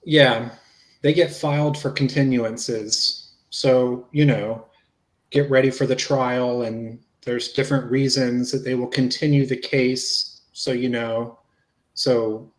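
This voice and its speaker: A man's voice: mid-range voice, steady tone, slow, lightly acted. A man reading the part of a patient investigator in a police interview, explaining things plainly and casually. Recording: roomy, echoey sound.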